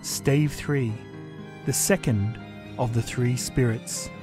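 Slow, measured male storyteller. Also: mid-range voice. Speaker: narrator. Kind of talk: scripted storybook narration. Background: music.

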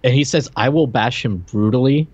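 A man co-hosting a casual, joking movie discussion, retelling scenes in a halting way, on a slightly muffled home recording. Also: medium pitch. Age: late 30s. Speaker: podcast co-host. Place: home room on a video call.

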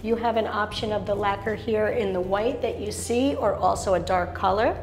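A woman's voice: medium pitch, moderate pace. A middle-aged woman giving an enthusiastic, polished sales tour of a show apartment, listing features one after another. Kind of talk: polished real estate showcase tour. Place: show apartment kitchen, indoors.

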